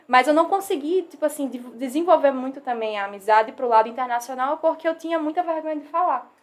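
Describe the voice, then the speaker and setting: A woman's voice: high voice, quick pace, soft background music. A young woman with a Northeastern Brazilian accent, chatting earnestly and animatedly about her studies. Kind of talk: casual chat.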